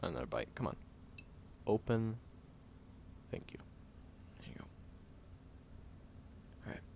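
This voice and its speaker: A man playing a guarded, prickly young tsundere caring for a sick friend in an intimate audio roleplay, speaking haltingly, stern yet caring, often hushed. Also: on the low side, gravelly, in a whisper, dull audio. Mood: gently bossy.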